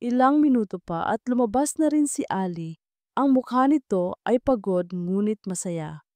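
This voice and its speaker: Mellow woman narrating a romance story. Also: medium pitch, lively intonation, normal speed, dry recording.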